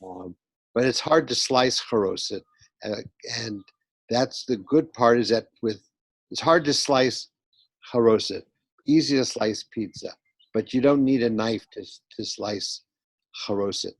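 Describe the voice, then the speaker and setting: A man with a medium-pitched voice talks as a rabbi to a children's online class. He speaks casually in short, halting, repeated phrases, and the sound is dry with no room echo.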